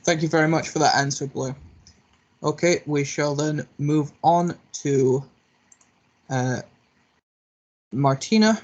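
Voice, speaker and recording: A man moderating a candidate question session over a video call, polite and a little halting as he hands over to each speaker. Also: medium pitch, poor audio. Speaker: debate moderator. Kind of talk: hosting a candidate Q&A.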